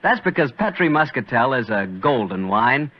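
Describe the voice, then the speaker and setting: A muffled vintage radio recording features a male announcer delivering a sponsor spot. His pitch is medium and moves in lively, wide sweeps, in classic 1940s commercial style. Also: normal speed, no room sound.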